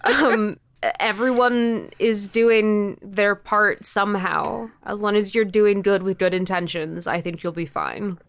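Clear-voiced woman, candid and informal, dry recording. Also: medium pitch, steady tone, takes it slowly, no room sound. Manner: candid, informal, swears freely. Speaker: podcast guest, audio drama creator. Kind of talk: casual interview chat.

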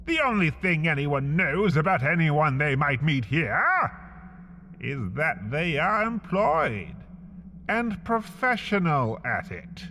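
A man reading a scripted comedic story in the second person, wry and slow, with big swings in his voice. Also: on the high side, sing-song, bright, forceful tone, in a large hall, slight background noise. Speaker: narrator.

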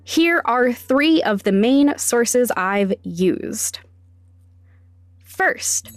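A woman's voice: sits high, no room sound. A young adult woman hosting a podcast, narrating conversationally in a candid, informal, wryly self-deprecating way. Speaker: podcast host and sound designer.

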